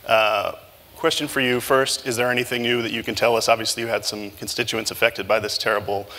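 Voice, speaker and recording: Bright-voiced man hosting a breakfast event. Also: fairly low pitch, long reverb tail. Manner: relaxed, many filler pauses. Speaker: moderator and journalist. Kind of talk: event moderating, asking questions. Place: conference room at a live breakfast event. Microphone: handheld mic.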